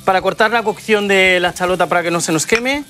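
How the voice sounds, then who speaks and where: A man's voice: sits high, brisk, loud music behind the voice. A man, hosting a cooking show and chatting enthusiastically as he cooks. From Spain. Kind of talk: cooking demonstration. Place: TV studio kitchen set.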